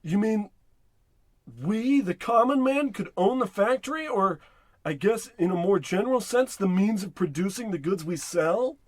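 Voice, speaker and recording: Man playing a high-voiced, earnest salesman. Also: animated, moderate pace. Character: earnest, gullible working-man travelling salesman. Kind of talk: parody of old radio drama.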